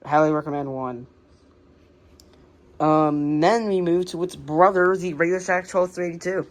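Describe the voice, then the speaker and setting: Low-voiced American woman, halting hobby narration. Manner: halting, unscripted, plain-spoken. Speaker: radio collector presenting the collection. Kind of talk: casual hobby show-and-tell narration.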